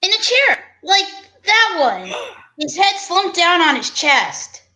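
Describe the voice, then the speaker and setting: A woman's voice: very high-pitched, big pitch swings, slow pace, pushed and bright, full character voice, poor audio. A woman playing an easygoing male homicide detective with casual, obliging cop talk in an old-time radio parody. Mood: puzzled.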